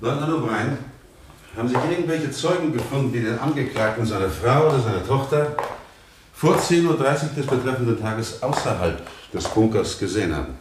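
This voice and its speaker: An actor playing a shrewd defence attorney in a radio courtroom drama, questioning formally and deliberately. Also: male voice, mid-range voice, animated, lightly acted. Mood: probing, courteous. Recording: roomy, faint noise, vintage radio production.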